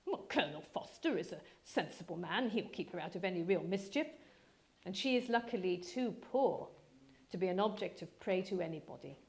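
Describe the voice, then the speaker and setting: A woman voicing an affectionate middle-aged gentleman father in a literary reading, with a dry, teasing, measured manner. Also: fairly low pitch, expressive. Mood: wry, placating.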